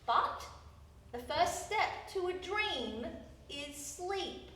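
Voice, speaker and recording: An actress playing an eager, determined young garage owner in a stage radio play, speaking earnestly. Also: female voice, extremely high pitch, lively intonation, extremely slow, fairly bright tone, a little hiss or hum, full character voice. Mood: tender, encouraging. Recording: echoey theatre hall, distant mic, music behind.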